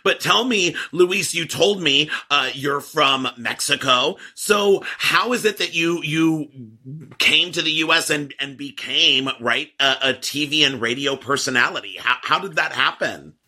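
A man's voice: a little high, measured pace, pushed and bright, slightly rough. A man interviewing a guest, asking friendly, curious questions.

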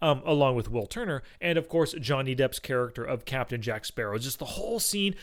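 A man's voice: medium pitch, lively intonation, brisk. A man hosting a casual movie podcast, talking in a chatty, slangy, enthusiastic way. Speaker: podcast host.

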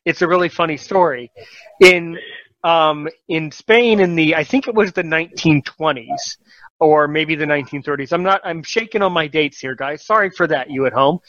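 A man's voice: on the high side, normal speed, a bit bright, no room sound, lo-fi sound. A man hosting a casual podcast talk show, encouraging and rambling, often correcting himself mid-sentence.

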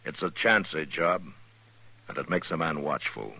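Stern, lonely Old West marshal, very deep and forceful, terse American delivery, vintage radio western. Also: male voice, animated, gravelly, breathy edge, heavily acted. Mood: grave, brooding. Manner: terse, measured. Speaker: lead actor. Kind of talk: 1950s radio western acting. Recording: old radio drama recording.

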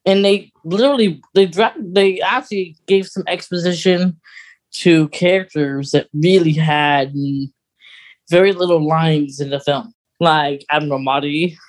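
Podcast host, medium-pitched voice, casual fan discussion, enthusiastic, stop-and-start phrasing. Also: measured pace.

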